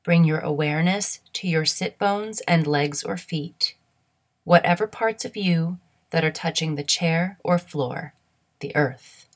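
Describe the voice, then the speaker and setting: Woman doing guided meditation, really slow and soothing, voice a bit on the low side. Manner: soothing, measured, instructional.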